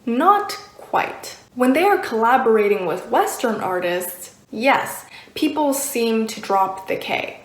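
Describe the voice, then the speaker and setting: A woman giving an explanatory video essay commentary in a conversational, measured way. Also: fairly high pitch, very animated. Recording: roomy, echoing voice recording.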